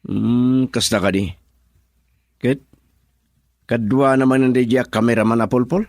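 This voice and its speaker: A man's voice: mid-range voice, fairly even intonation, unhurried, a little mellow, no room sound, clean studio sound, lightly acted. A man acting a lovesick, jealous young suitor in an Ilocano radio drama. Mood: polite.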